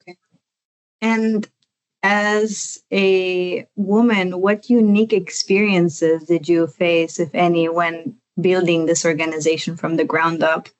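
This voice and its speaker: A woman with a slightly low voice for a woman hosting a podcast interview. She puts her questions politely and encourages the guest to go on. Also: flat delivery, slow, rough recording.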